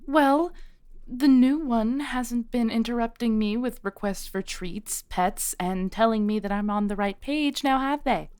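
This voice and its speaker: Woman playing an unhurried centuries-old witch shopkeeper. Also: fairly high pitch, darkish tone, clear, resonant tone, close to an everyday voice. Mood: teasing exasperation. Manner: unhurried, pausing, sighing, conversational. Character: centuries-old female witch shopkeeper, patient, knowing, protective. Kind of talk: audio roleplay acting.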